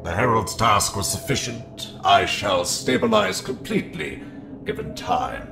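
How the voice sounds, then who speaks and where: An ancient evil being in a horror web drama, speaking in a deep voice that swings in pitch. The delivery is formal, cold and commanding, with strong echo and music behind it. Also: male voice, huge pitch swings, gravelly, heavily acted. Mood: cold, imperious. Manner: formal, menacing, deliberate speech. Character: ancient dark male entity seeking a human body, coldly imperious.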